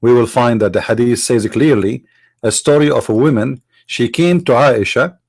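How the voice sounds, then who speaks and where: A man whose first language is Arabic, from the Middle East, arguing in a sarcastic, mocking tone and slowing down to stress quoted phrases. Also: mid-range voice, gravelly, a little mellow, no room sound. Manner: sarcastic, mocking rhetorical questions. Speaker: apologist speaker. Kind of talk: argumentative lecture.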